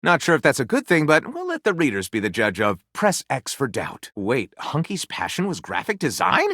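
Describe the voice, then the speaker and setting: A man's voice: medium pitch, wildly swooping pitch, normal speed, fairly bright tone, dry recording, studio quality. An actor playing a flippant, self-satisfied male writer in a video game, telling tales with wry, grandiose, theatrical delivery. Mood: enthusiastic, snarky. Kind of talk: dramatic, comedic storytelling voice acting.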